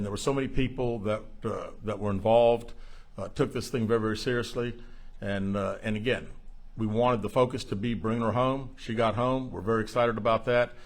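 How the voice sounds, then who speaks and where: A man speaking as a police chief at a press briefing, answering questions in a halting, measured, plain-spoken way. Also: lowish voice.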